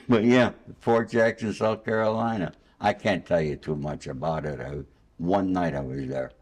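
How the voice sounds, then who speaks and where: An older male interviewer with a fairly low voice. He talks casually and off the cuff, drifting into anecdotes. His manner is rambling and a little boastful. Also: unhurried, darkish tone. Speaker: interviewer and host. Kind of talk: spontaneous casual conversational interview.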